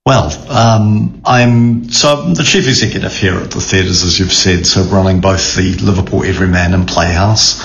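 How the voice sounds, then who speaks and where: A middle-aged man with a New Zealand accent, a theatre chief executive answering radio interview questions in a measured, thoughtful way with frequent ums and restarts. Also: deep voice, creaky, a bit bright. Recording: roomy, dull sound with faint background noise.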